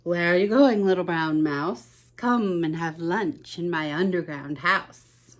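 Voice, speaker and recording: A woman's voice: fairly low pitch, takes it slowly. A woman voicing a sly, hungry fox in a picture book read aloud, with a coaxing delivery.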